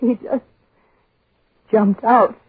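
A woman playing a shocked, fearful office secretary, halting and breathy, in 1940s radio acting style. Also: on the high side, fairly even intonation, no echo, heavily acted. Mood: horrified. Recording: thin, narrowband 1940s radio recording.

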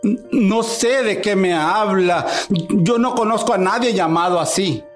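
A man's voice: high voice, unhurried, faint music behind. A man playing a frightened, pleading old peasant father in a radio drama. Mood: nervous denial. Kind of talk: amateur radio drama acting.

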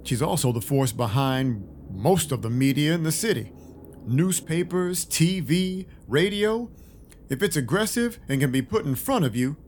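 An actor playing a world-weary vampire detective, narrating an audio drama in dry, deadpan noir style. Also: male voice, mid-range voice, a little hiss or hum, natural voice. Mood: dry, matter-of-fact. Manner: wry, deadpan. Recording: music playing behind the voice. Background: background music.

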